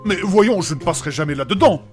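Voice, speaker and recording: A man playing a prim, skinny, courteous gentleman in a comic fantasy audio drama, with formal and fussy speech. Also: high-pitched, animated, fast talker. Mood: indignant. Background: music.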